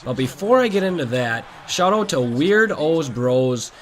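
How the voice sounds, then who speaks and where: Hoarse young-sounding man talking sports. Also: fairly high pitch, big pitch swings, measured pace. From Minnesota, USA. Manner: chatty and opinionated, addresses viewers directly. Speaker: gaming channel host. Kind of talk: casual sports talk over gameplay.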